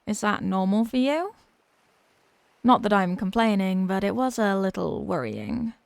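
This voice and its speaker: A woman's voice: mid-range voice, animated, unhurried, gravelly, no echo. A woman playing an obsessive, haughty young mermaid princess in a roleplay ASMR drama, sweetly smug and condescending.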